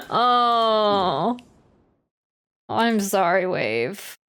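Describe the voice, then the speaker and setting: A woman's voice: medium pitch, sing-song, drawn-out words, a bit bright. A woman game streamer chatting casually and reacting on a livestream.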